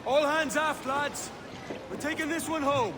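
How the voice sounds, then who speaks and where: A man's voice: very high voice, a little slow, over music. A man playing a brash, roguish young pirate captain with a Welsh accent in a video game. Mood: elated. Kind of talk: cinematic video game acting.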